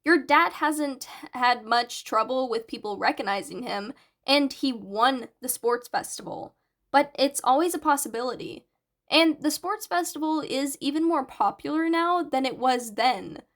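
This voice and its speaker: An eager, bright teenage boy, performed by a woman in an audio story. His voice sits fairly high, and he explains things in an earnest, analytical way. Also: lively intonation, a little slow, heavily acted. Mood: earnest explaining. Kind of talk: audiobook character dialogue.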